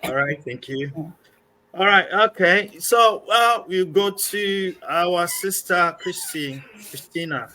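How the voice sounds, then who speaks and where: A man's voice: sits high, slow, sharp tone, low-quality recording. A man hosting an online panel discussion, introducing guests in a loose, upbeat way with many fillers. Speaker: panel moderator.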